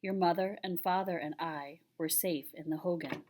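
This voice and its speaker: A woman's voice: fairly low pitch, fairly even intonation, normal speed, a little mellow, slight background noise, natural voice. A woman voicing a patient, solemn old grandfather who tells a tale in a grave, tender way, reading a children's picture book.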